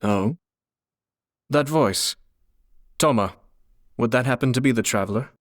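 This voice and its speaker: A man playing a refined, composed clan lord in a video game, speaking in a formal, poised and deliberate manner. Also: medium pitch, no room sound, studio quality, natural voice. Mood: composed, courtly.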